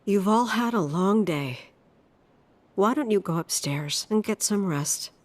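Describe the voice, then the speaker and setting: An actress playing a weary, protective middle-aged mother in a video game drama, speaking in a firm, measured, tired way. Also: mid-range voice, expressive, natural voice. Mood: tired, subdued.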